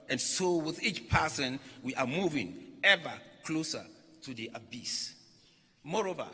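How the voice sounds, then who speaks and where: A religious leader, a man, delivers a formal written address. His voice sits a little high and is strikingly bright and forceful. He phrases deliberately, pausing between short groups of words, and the sound rings through a reverberant hall. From Ghana. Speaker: religious leader reading a message at a conference. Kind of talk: formal speech read aloud. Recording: echoey hall with long reverb.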